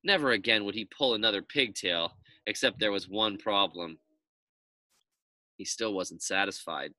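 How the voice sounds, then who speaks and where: A man narrating a children's story aloud in an expressive storytelling style. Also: on the low side, normal speed, dry recording.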